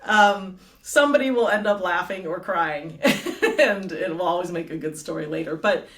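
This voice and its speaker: A woman's voice: fairly low pitch, animated, moderate pace, fairly bright tone. Chatty woman guest with a roomy echo. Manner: chatty, laughs often, many fillers. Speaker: guest, advocate and author. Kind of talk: casual interview conversation. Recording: roomy echo, distant from the mic.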